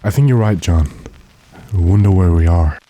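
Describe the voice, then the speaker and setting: Man playing a deep, dark-voiced commanding gentleman. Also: moderate pace, lightly acted. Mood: weary. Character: weary, decisive gentleman master lost in a storm. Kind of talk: old-time radio drama acting.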